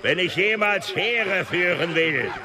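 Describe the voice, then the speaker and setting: High-pitched, grandiose creature warlord. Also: male voice, animated, a crowd in the background. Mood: grandiose, menacing. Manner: slow, declamatory, grandiose. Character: scheming non-human war leader plotting against mankind. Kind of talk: fantasy radio drama acting.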